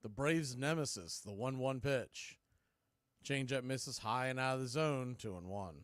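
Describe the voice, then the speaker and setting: A man's voice: medium pitch, unhurried, fairly bright tone, smooth, no echo. A man calling baseball play-by-play live on a stream, in clipped phrases that lift on the action.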